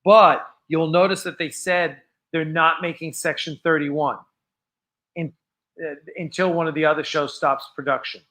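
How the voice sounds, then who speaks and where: An American man hosting a casual live fan show, chatting off the cuff in a rambling, halting way that often trails off. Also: a little high, steady tone, sharp tone. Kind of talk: casual unscripted livestream chat.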